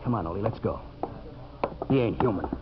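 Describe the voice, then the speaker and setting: A man's voice: fairly low pitch, animated, brisk, background noise, natural voice. A man acting an earnest, troubled lumberjack in a 1950s radio drama, plain-spoken with rustic grammar. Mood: troubled, defiant. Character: earnest, troubled lumberjack standing up to his boss. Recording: muffled 1950s radio recording.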